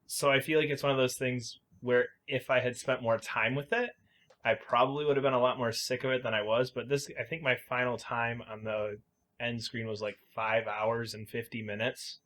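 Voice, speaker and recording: A man with an average-pitched voice chats as a podcast co-host. His delivery is informal and hedging, as he thinks out loud about games in a casual, conversational style. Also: little pitch movement, moderate pace.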